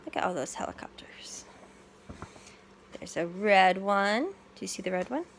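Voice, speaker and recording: A woman leads story time for young children with a very lively, swooping delivery. She reads expressively and chats to her listeners about the pictures. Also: mid-range voice, unhurried, a little hiss or hum. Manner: expressive, with excited gasps and questions to children. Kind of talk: animated picture-book read-aloud with asides to the listeners.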